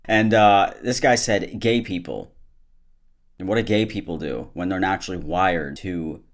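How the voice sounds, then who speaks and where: Deep-voiced man, sarcastic commentary. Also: a little slow. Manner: sarcastic, mocking jokes. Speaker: YouTube commentary host. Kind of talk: comedic reaction commentary on online posts.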